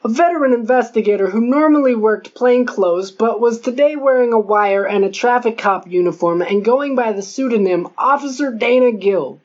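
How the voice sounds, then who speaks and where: A woman's voice: on the high side, moderate pace, hardly any pauses, darkish tone. Woman narrating a novel, dull lo-fi sound. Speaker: audiobook narrator. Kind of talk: scripted first-person novel narration. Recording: dull lo-fi audio.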